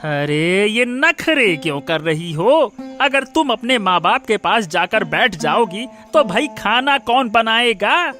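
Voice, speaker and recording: A man's voice: high voice, animated, slow, few pauses, sharp tone, heavily acted. A man playing a harsh, irritable, bullying husband in a cartoon moral story, scolding with sarcastic questions. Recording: music behind the voice.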